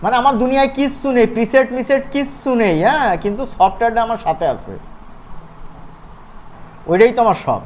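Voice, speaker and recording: Lively Bengali animation instructor, noisy recording. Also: medium pitch, fast, long pauses. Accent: Bangladeshi regional Bengali. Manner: conversational, checks often with rhetorical questions. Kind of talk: informal live software tutorial.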